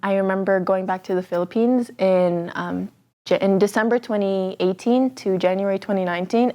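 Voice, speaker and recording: A woman's voice: mid-range voice, a little mellow. A nineteen-year-old woman from Canada, a pageant titleholder, chatting through interview answers with frequent ums and restarts.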